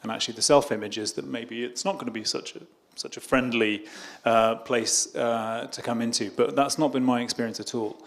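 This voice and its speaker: A middle-aged British diplomat answering questions at a public event. He speaks at an ordinary middle pitch, hesitant and unpolished, circling back over his own words as he goes. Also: steady tone, fairly quick, creaky, few pauses. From Britain. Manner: hesitant, restarts and repeats words.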